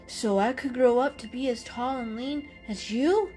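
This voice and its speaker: A woman's voice: on the high side, moderate pace, dark tone, soft background music, voice pushed up, close to an everyday voice. A woman voicing a small, hopeful, innocent young male kitten in a storybook reading. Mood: hopeful, eager. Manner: eager, innocent.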